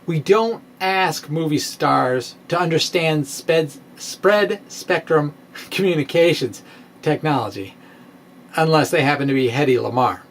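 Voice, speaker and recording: A middle-aged man giving a dramatic reading of a science blog in a wry, theatrical manner with pointed pauses, close to a microphone in a small room. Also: a little high, slight background noise. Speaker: science blogger and presenter. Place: small indoor room with bookshelves. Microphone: microphone on a stand, close in front.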